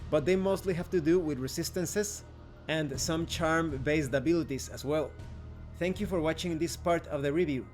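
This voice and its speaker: Man, somewhat high voice, measured review narration, instructional, faint music behind. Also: a little mellow. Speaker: game reviewer, channel host. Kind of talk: explanatory review narration, reading rules. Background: background music.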